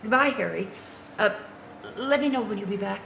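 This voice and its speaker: Detective's office assistant: bright, courteous, slightly hesitant, radio mystery with echoey room sound. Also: female voice, mid-range voice, normal speed, creaky, a little hiss or hum. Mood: polite, uneasy. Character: polite, concerned female office assistant of a detective. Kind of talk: noir radio drama acting.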